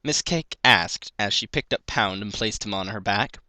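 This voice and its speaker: A man's voice: fairly low pitch, normal speed, sharp tone, dry recording. A man narrating a piece of fan fiction, reading it aloud as a story.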